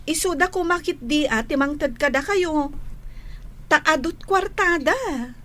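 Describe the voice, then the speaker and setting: Actress as high, slow, lilting village woman. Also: animated, a bit bright. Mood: questioning, frank. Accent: Ilocano Filipino. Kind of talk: Ilocano radio drama acting.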